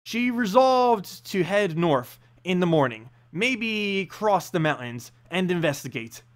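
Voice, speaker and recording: A man reads a fantasy tale aloud as narrator. His voice sits high for a man and moves widely up and down in pitch. The delivery is dramatic and expressive, in the manner of a storybook reading. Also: slow. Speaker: narrator and channel host.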